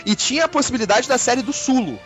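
A man's voice: very high-pitched, lively intonation, fast talker, a bit bright. A Brazilian man chatting casually as a guest on a podcast. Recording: dull lo-fi line with music bed.

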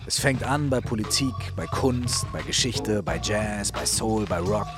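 A man's voice: on the low side, lively intonation, measured pace, over music. A man co-hosting a radio feature, commenting in a casual, colloquial, conversational way.

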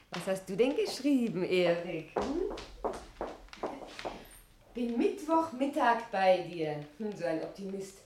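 An actress playing a weary, complaining young governess in a 1960s German radio play, chatty, teasing and plaintive. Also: female voice, mid-range voice, sing-song, slow, long pauses. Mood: amused, mocking. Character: weary, complaining young governess visiting her adoptive aunt. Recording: noisy 1960s radio recording with clicks.

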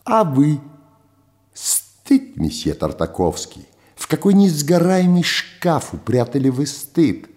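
A man's voice: fairly high pitch, big pitch swings, slow pace, a little mellow, pitched above the performer's own voice. An older man playing Benya, a swaggering gangster boss. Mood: indignant. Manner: mock-reasonable, theatrical. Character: swaggering Odessa gangster boss, smooth and menacing.

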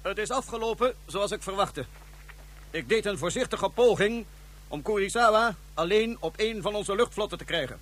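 Cold scheming male ruler, curt commands, high-pitched, radio drama. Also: expressive, moderate pace, fairly bright tone.

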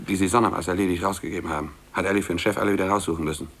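An actor playing a young, nonchalant office colleague who needles and goads in a casual way, in a 1950s German radio play. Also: male voice, low voice, fairly even intonation, very fast, slightly rough. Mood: businesslike, hesitant. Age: young adult. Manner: casual, tag questions, goading.

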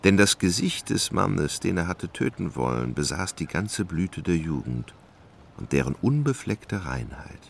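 A man narrating a literary radio drama in a measured, dramatic way. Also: sits low, very animated, vocal fry, slight background noise.

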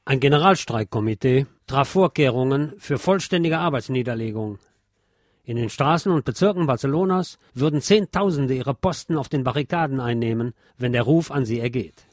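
A man's voice: medium pitch, expressive, normal speed, dry recording, clean studio sound. A man reading a scripted historical narration aloud as a narrator.

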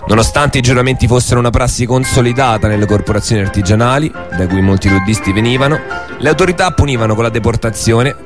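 A man's voice: medium pitch, quick pace. Male radio narrator over music. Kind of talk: scripted documentary narration. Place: radio studio.

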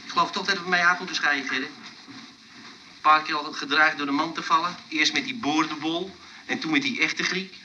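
High-pitched blustering young rascal. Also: male voice, moderate pace, sharp tone. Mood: grumbling. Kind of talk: Dutch radio play acting. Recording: distant, noisy old radio recording.

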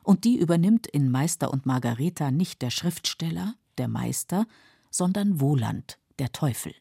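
Low, husky female narrator. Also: animated, mellow, no room sound, studio quality. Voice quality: husky, creaky. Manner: measured, explanatory. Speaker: narrator of literary radio feature. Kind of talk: scripted documentary narration.

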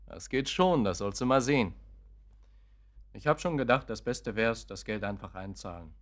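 An actor playing a bitter, cornered young son in a German radio play, curt, sarcastic and impatient. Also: male voice, on the low side, lively intonation, a little slow.

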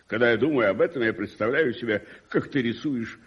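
An actor playing an opinionated, wealthy older lord in a radio play, speaking in a pompous, emphatic, declamatory way. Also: male voice, medium pitch, a little fast, slightly rough. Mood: emphatic, scoffing. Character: opinionated wealthy older English lord, teasing and protective godfather. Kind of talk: 1980s Soviet radio play acting. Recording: muffled 1980s radio drama recording.

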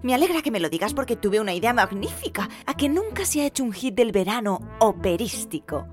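An actress playing an eager, scatterbrained would-be pop singer in a comedic audio drama, thinking aloud and getting excitable. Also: female voice, fairly high pitch, fairly quick, over music. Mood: enthusiastic. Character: eager, scatterbrained young woman dreaming of a pop hit. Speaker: radio fiction actress.

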